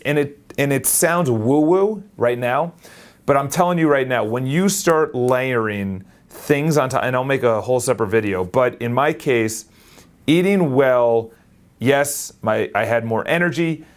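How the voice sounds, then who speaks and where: A man in his late twenties talking casually into his phone camera, energetic and rambling, often restarting his sentences. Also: medium pitch, unhurried. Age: 20s. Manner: energetic, rambling, self-correcting. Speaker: vlogger.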